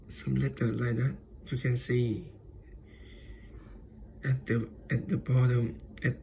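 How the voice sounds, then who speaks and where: A man from Vietnam with a Vietnamese accent gives an informal student presentation in halting, hesitant non-native English. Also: medium pitch, mellow, slight background noise.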